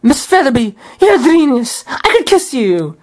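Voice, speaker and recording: A man's voice: extremely high pitch, lively intonation, normal speed, a bit bright, voice pushed up. A man voicing a scheming, rich male duck with a Scottish accent who rants. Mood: delighted. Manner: ranting, fussy. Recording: music playing behind the voice.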